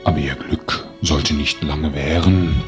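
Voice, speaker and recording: Deep, slow, solemn mock-epic narrator. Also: male voice, steady tone, music in the background. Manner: solemn, grandiose storyteller tone.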